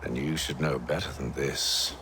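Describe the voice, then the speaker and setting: An actor playing a haughty middle-aged gentleman schemer in a video game, cold and commanding with a dry, clipped manner. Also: a bass voice, steady tone, moderate pace, slight background noise. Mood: cold threat.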